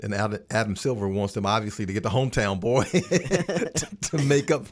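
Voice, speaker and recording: A man with a fairly low voice joins a sports roundtable as a panelist. His delivery is casual banter between colleagues. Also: moderate pace.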